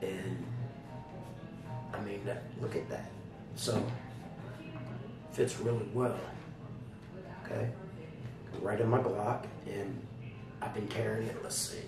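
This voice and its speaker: A slightly hoarse man giving a casual, folksy product review straight to his viewers. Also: fairly low pitch, steady tone, slow, long pauses, over music. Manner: folksy, colloquial, addressing viewers directly. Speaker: product reviewer, channel host. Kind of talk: casual chatty holster video review. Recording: roomy, distant mic with loud background noise.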